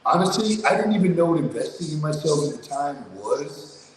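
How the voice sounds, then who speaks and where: A man with a low voice telling his story in a casual, streetwise, blunt way, swearing freely, as an interview guest. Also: lively intonation, moderate pace, heavy reverb, long reverb tail, a little hiss or hum, low-quality recording. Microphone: remote video call.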